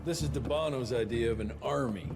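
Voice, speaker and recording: Game lead: blunt, stubborn gunslinger agent, male actor, medium pitch, gruff, short-fused; prominent score behind. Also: brisk, over music, close to an everyday voice. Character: stubborn, hot-tempered cowboy monster-hunting field agent, male. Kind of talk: video game cutscene acting.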